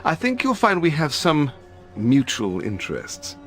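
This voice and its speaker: An actor playing a dapper, jittery British secret service gentleman in a video game, with a plummy upper-class English accent and fussy politeness. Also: on the high side, huge pitch swings, a little slow, faint music behind, exaggerated voice. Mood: flustered, chirpy. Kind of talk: video game cutscene acting.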